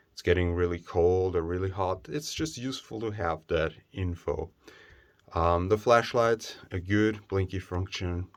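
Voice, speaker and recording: A man giving a casual, unscripted product review, speaking in a plain, opinionated way with halting phrasing. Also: low voice. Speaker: gear review presenter.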